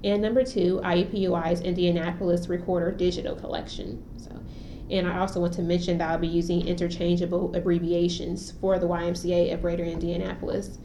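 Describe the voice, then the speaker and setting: Flat, mellow-voiced woman interviewer. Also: fairly low pitch, a little fast. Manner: reads a formal preamble, then short acknowledgments. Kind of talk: formal oral history interview. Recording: roomy echo, faint noise.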